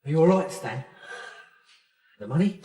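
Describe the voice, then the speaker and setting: An actor playing an edgy, defensive small-time criminal in a radio drama, with an excitable, protesting manner. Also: male voice, fairly high pitch, moderate pace, recorded from a distance, close to an everyday voice. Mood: shaken. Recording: echoey, reverberant, off-mic radio drama scene.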